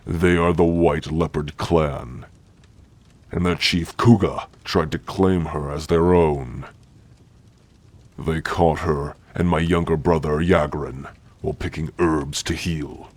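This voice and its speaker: A man voicing a stern, gruffly caring orc warrior-healer in an ASMR roleplay, speaking in a formal, commanding way. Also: rumbling low, fairly even intonation, slow pace, dry recording, full character voice. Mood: gruff, guarded. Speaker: roleplay voice actor.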